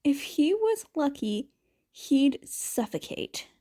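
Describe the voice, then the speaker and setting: A woman with a high voice narrates a story at an unhurried pace. She reads like an amateur audiobook narrator, expressive and following a script. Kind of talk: scripted narration of fan fiction.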